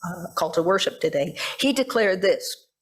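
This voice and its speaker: A woman's voice: fairly low pitch, animated, normal speed. A woman pastor preaching a reflective, explanatory sermon, moving between notes and spoken asides and posing rhetorical questions. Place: church service.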